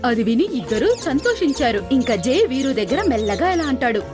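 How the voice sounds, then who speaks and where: A woman narrating a scripted children's story in an expressive storytelling manner. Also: sits high, fast, over music.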